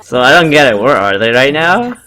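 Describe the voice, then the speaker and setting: A man's voice: mid-range voice, big pitch swings, brisk, bright, forceful tone. A young man chatting casually and curiously as a game co-commentator. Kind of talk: casual reactive chat.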